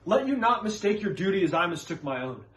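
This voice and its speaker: A man's voice: sits high, sing-song, fast, a bit bright, voice pushed up. A man performing stage monologues as an earnest, scholarly witch-hunting reverend, with emphatic, preacherly delivery and formal archaic phrasing. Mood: remorseful urging.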